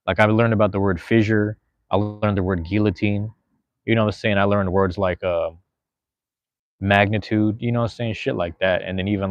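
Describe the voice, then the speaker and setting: A man in his thirties talks in a loose, rambling way, full of slang and casual swearing. His voice sits fairly low. The recording is dry and dull, with a lo-fi sound. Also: no room sound. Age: 30s. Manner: rambling, laid-back, slangy, swears freely. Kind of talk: casual chat.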